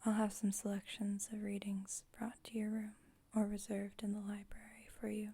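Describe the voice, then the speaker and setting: A woman playing a calm, formal, caring vampire coven leader in a whispered ASMR roleplay, speaking in a gentle, measured, breathy whisper. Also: medium pitch. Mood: calm, instructive.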